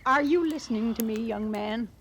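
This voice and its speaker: A woman's voice: fairly high pitch, normal speed, noisy background, natural voice. An actress playing an imperious, indignant middle-aged woman traveler, scolding in old-time radio western style. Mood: indignant, bossy. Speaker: stagecoach passenger.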